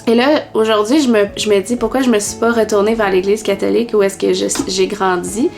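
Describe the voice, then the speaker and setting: A woman in her twenties with a Québécois accent, telling her personal faith story in a vlog with many pauses and fillers. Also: medium pitch, fairly even intonation, creaky, a little mellow, soft background music. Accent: Québécois French. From Quebec, Canada. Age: 20s.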